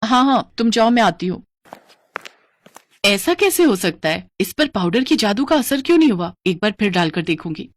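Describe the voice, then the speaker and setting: A woman's voice: medium pitch, big pitch swings, normal speed, lightly acted. A woman voicing a scheming, jealous elder sister-in-law in a moral story, with a sly manner. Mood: puzzled, scheming.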